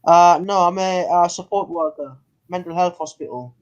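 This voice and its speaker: Man, thirty, high-pitched, casual group chat, repetitive phrasing, dull online call audio. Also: fairly even intonation, moderate pace. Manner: repeats phrases for emphasis. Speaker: participant in an online religious debate.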